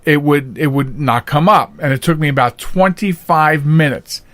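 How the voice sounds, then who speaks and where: A man's voice: on the high side, moderate pace, a bit bright, no room sound. A man hosting a podcast in casual, chatty, rambling and jokey style. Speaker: podcast host. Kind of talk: casual chat.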